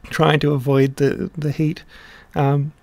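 A middle-aged man explaining his song translation in a casual, halting way, with many pauses and restarts. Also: fairly high pitch, little pitch movement, creaky, a little mellow, no room sound. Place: small room indoors.

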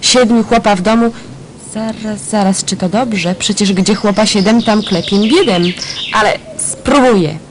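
A woman's voice: medium pitch, measured pace, background noise, close to an everyday voice. A woman playing a chatty runaway student. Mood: cheerful. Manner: exclaiming, talking to herself. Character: young female student runaway, cheeky, chatty.

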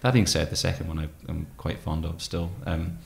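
Male interview guest, thirties, very low voice with vocal fry, tentative and reflective. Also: flat delivery. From Scottish Highlands. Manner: hesitant, thoughtful, halting. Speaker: guest, poet and musician. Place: room with tall windows, indoors. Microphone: microphone on desk stand.

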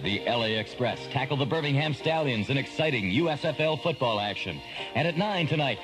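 A male promo announcer reads with a very lively, varied pitch. Music plays clearly behind his scripted voice-over. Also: poor audio.

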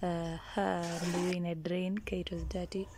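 A woman's voice: lowish voice, little pitch movement, unhurried, noisy background. A woman with a Kenyan accent chatting casually and playfully as she narrates a chore, switching languages mid-sentence. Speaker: vlogger. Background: clicking and water sounds.

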